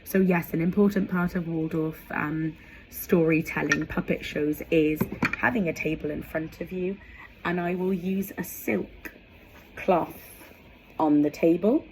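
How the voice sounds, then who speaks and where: A woman gives an informal how-to talk in a dark, soft-edged voice with a creaky fry. She takes her time, speaking conversationally with small asides as she demonstrates. A faint noise sits behind her. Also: low voice, steady tone, a little slow, low-quality recording. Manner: explanatory, conversational asides while demonstrating props. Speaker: educator presenting storytelling props. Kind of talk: informal instructional vlog.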